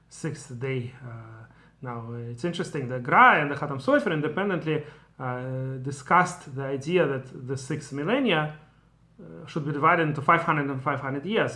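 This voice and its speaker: Man teaching, bright voice. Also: medium pitch. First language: Russian. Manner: digressive, thinking aloud with asides. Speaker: Torah teacher. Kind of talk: explanatory lecture.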